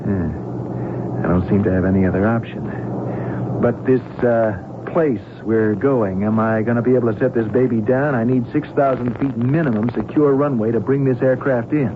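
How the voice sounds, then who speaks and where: An actor playing a gruff, wry, wary airline captain in an old-time radio drama, talking in blunt slang. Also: male voice, mid-range voice, moderate pace, close to an everyday voice. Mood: grudging. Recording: noisy, tinny old radio recording.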